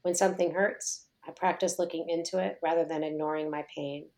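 Middle-aged woman, yoga author, reading her essay in a measured, low, level tone. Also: steady tone, darkish tone. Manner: slow, measured, reflective reading.

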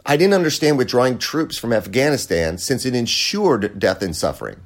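A man's voice: mid-range voice, very animated, moderate pace. An American man hosting a podcast, delivering a sarcastic, profane opinion rant. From United States. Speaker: podcast host. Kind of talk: opinion monologue.

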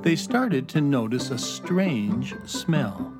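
Slow, measured male storybook narrator. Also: mid-range voice, very animated, over music. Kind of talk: scripted children's story narration.

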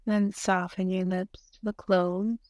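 A woman guiding a meditation in a soothing, gentle manner, giving unhurried instructions with long pauses between short phrases. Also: mid-range voice, level tone, no echo, clean studio sound. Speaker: meditation guide. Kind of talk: guided meditation narration.

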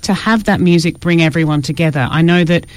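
A woman's voice: fairly low pitch, a little fast, dry recording. A woman radio host chatting casually and live in a studio, with a self-deprecating, informal manner. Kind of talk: casual live interview chat. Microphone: studio microphone.

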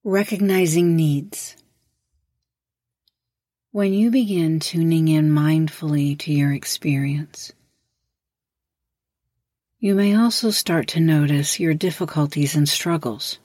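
A woman with a low voice leads a guided meditation in a measured, instructional way. Her tone is slightly husky, and she leaves long pauses between prompts. The sound is very dry, with no room around it. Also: dark tone, no room sound. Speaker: host, guided meditation leader.

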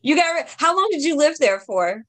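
A woman's voice: high voice, very animated, normal speed, a bit bright. A woman from Brooklyn with a New York accent, hosting an interview in an enthusiastic, effusive, rambling way. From Brooklyn, New York, USA. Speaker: interview host. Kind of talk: casual interview conversation.

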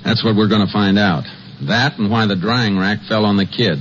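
Male lawman played by an actor, very low and clipped, steady and suspicious, old western radio drama, muffled vintage recording. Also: normal speed, slight background noise, lightly acted. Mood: pensive, resolute. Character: steady, suspicious Texas Ranger investigator on a case. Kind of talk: 1950s western radio drama acting with first-person narration.

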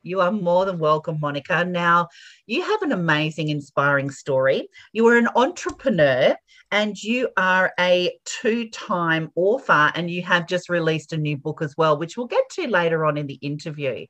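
A woman's voice: fairly low pitch, expressive, moderate pace, a bit bright. A woman hosting an interview podcast, effusive and emphatic in her praise of the guest. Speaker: podcast host.

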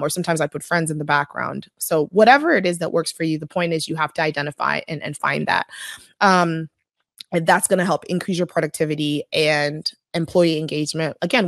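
Woman doing a solo business podcast, low voice, dry close sound, chatty and practical. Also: no room sound. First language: not Korean. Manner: conversational, practical, with frequent fillers. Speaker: podcast host.

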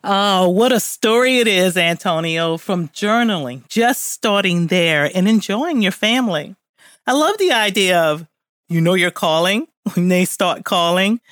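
A woman's voice: mid-range voice, lively intonation, a little slow, fairly bright tone, dry recording, studio quality. A woman hosting an interview, reading a scripted introduction of her guest and then asking him questions. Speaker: host.

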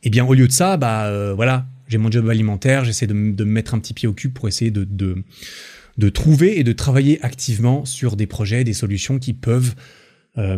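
A young man from France hosting a solo podcast, talking in a relaxed, rambling way with lots of fillers and restarts. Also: fairly low pitch. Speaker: podcast host. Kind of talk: casual solo podcast monologue.

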